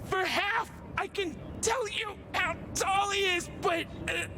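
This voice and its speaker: An actor playing a crude, loud thug in a video game, with coarse slangy speech. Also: male voice, very high voice, slow, heavily acted. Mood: belligerent, impatient. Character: crude, loud, hungry thug squatting in a wrecked club. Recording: noisy, long echoing reverb.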